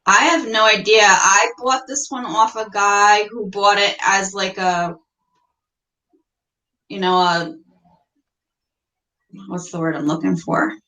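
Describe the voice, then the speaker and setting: A woman's voice: medium pitch, steady tone, takes it slowly, long pauses, pushed and bright. A middle-aged American woman chatting casually on a livestream, telling stories in a plain-spoken, mildly salty way. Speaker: livestream guest, reptile keeper. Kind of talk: casual chat. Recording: roomy, echoey livestream audio.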